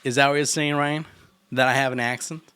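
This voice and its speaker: A man's voice: medium pitch, fairly even intonation, a little fast, sharp tone, smooth. Playful Argentine man chatting on stream. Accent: Argentine Spanish. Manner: playful and teasing, laughs often. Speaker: programming livestreamer. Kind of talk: casual chat with live chat.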